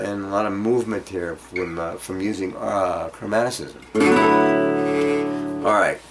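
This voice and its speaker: A man giving an informal guitar lesson, speaking haltingly as he thinks aloud and demonstrates. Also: fairly low pitch. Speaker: guitar teacher. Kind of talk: informal instructional music lesson. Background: acoustic guitar playing.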